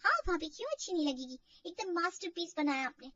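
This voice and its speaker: Woman voicing an excitable, very high-pitched young sister-in-law. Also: fast talker, far from the mic, highs cut off, full character voice. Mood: eager, admiring. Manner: gushing, exclamatory.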